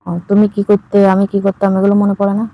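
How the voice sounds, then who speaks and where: A woman's voice: mid-range voice, completely flat delivery, fairly quick, dry recording, highs cut off, natural voice. A woman playing a teasing, suggestive lover who misses her faraway partner, chatting intimately as if on a late-night call. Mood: wistful, reproachful.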